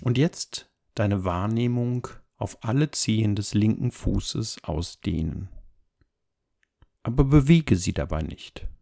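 A man leading a guided meditation as an instructor, speaking calmly and gently in measured, unhurried phrases. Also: on the low side, creaky. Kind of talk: guided body scan meditation, soft instruction.

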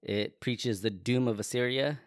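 Man, podcast co-host, laughing banter, dry close mic, mid pitch. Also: a little slow, dry recording. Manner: laughs often, self-deprecating banter. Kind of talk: casual chat about the Bible. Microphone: close podcast microphone.

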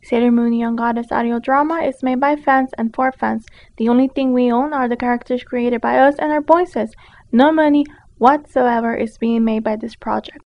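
A woman's voice: a little high, steady tone, normal speed. A woman reading a scripted disclaimer as an announcer. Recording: dull, lo-fi home recording.

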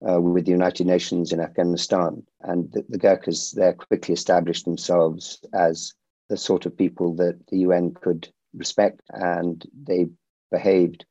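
A middle-aged man who grew up in India, a security company founder answering interview questions in a measured way with frequent restarts. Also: sits low, level tone, mellow, no echo, dull audio.

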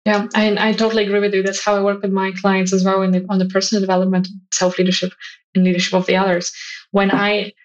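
A woman's voice: mid-range voice, completely flat delivery, quick pace. A woman hosting a conversational interview, explaining things in an earnest way as a leadership coach would. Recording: slightly dull, lo-fi audio.

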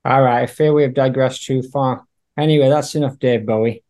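A man's voice: mid-range voice, steady tone, a little fast. A man hosting a casual music discussion, feeling his way through his points with some hesitation. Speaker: podcast host.

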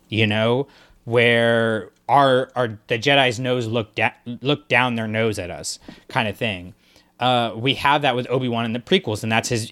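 A man co-hosting a relaxed discussion podcast. He thinks out loud, wandering through his points with plenty of fillers and restarts. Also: medium pitch. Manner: thoughtful, rambling with fillers and restarts. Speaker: podcast co-host.

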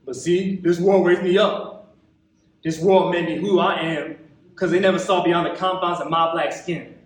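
A young man with an American accent performing a theatrical stage monologue as an embittered, wounded young man, impassioned and colloquial, with dramatic pauses. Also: high-pitched, steady tone, close to an everyday voice. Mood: indignant. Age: young adult. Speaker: actor in a monologue competition. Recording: echoey hall, recorded from far off.

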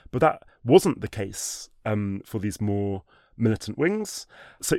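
A man telling a history story in a conversational way, animated, with frequent restarts and fillers like "sort of" and "um". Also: on the low side, no room sound, clean studio sound. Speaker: history guest.

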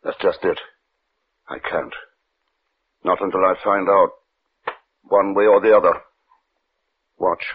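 An actor playing a driven, secretly frightened scientist, intense and insistent, in old-time radio drama style. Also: male voice, deep voice, fairly even intonation, slow, long pauses, husky, exaggerated voice. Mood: obsessed. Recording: muffled, phone-thin old radio recording.

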